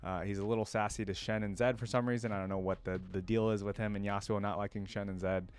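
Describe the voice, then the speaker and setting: A man's voice: lowish voice, level tone, fast, clear, resonant tone. A man hosting a reaction video, chatting informally and enthusiastically as he thinks aloud. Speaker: reaction channel host. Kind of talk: casual chat to camera, rambling commentary.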